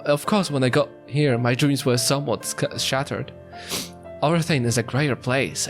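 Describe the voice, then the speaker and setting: A man reading visual novel narration aloud with dramatic delivery, as a let's play host. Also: mid-range voice. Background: faint music.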